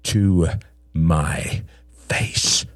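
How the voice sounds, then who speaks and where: Man acting deep, slow, menacing father. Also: expressive, darkish tone, husky, dry recording, clean studio sound, heavily acted. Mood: cold, resentful. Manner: deliberate, contemptuous, accusing. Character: menacing, cold, aggrieved father confronting a rival.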